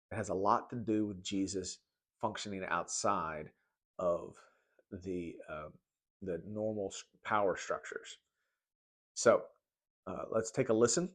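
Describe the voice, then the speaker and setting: A middle-aged American man giving an informal, conversational devotional talk with personal stories. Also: lowish voice. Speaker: host of a church read-along.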